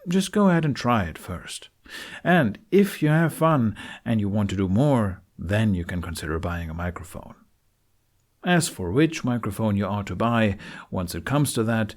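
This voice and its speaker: A man with a fairly low voice presents a how-to voice-over. He speaks in a frank, conversational way, following a script but keeping it informal. It is recorded on a budget USB microphone in a living room. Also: very animated, normal speed. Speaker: tutorial presenter. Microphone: budget USB microphone on an arm.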